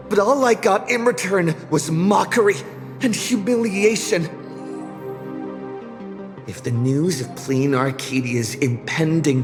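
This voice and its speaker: Defiant young game hero, high voice, swooping delivery, declamatory, echoing hall, music behind. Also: male voice. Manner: theatrical, emphatic, declamatory. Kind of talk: video game voice acting.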